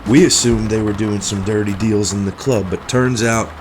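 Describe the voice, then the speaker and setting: A man playing a gruff detective in a noir parody, quietly confiding. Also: on the low side, steady tone, normal speed, background noise, lightly acted.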